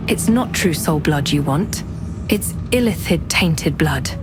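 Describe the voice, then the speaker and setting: An actress playing a blunt, self-assured adventurer heroine in a fantasy game. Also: female voice, low-pitched, expressive, slow pace, over loud music. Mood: cool, direct.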